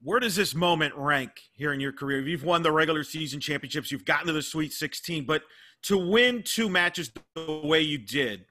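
A man puts long, piled-up questions to athletes as a reporter at a press conference. His voice is fairly high for a man, bright and forceful. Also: moderate pace, faint music behind.

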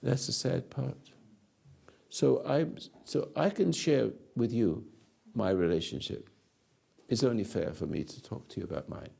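A man in his eighties giving a rambling philosophical talk to an audience on a lapel mic, halting and repeating his words. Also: mid-range voice, gravelly, mellow, slightly rough. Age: 80s. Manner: gestures with hands while talking. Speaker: speaker answering audience. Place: dark stage or studio.

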